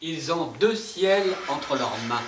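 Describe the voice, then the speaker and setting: A man performer giving an expressive, declamatory theatrical recitation on a stage. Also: a little high, takes it slowly. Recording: echoey stage, distant mic, faint hiss.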